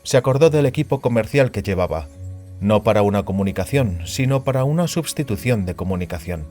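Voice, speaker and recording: Male narrator for scripted audio fiction, lower register, light music bed behind the read. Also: animated, brisk, darkish tone.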